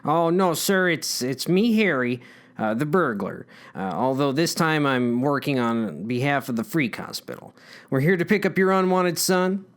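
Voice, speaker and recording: A man's voice: on the high side, lively intonation, normal speed, pitched below the performer's own voice, natural voice. A man voicing a bumbling, deferential burglar in a comic story, hesitant and stammering. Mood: sheepish.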